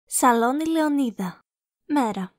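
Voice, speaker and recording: High-voiced woman narrator, dry sound. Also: lively intonation, a little mellow, no room sound. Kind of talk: scripted scene-setting narration.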